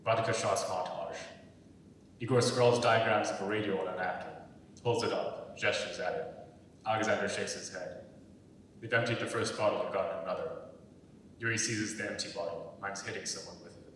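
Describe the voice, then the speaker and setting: A man's voice: steady tone, moderate pace, fairly bright tone. A man reading screenplay stage directions aloud as scripted narration, recorded from far off in an echoing hall. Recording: echoey hall, far from mic, rumbly noise.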